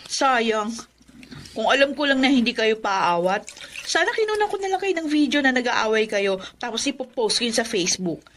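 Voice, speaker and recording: Sassy, vain Filipina with swooping delivery. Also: female voice, a little high, big pitch swings, normal speed, fairly bright tone, slight background noise. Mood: teasing, flippant. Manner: flippant, mocking. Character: sassy, vain, teasing young woman. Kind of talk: Filipino radio drama acting.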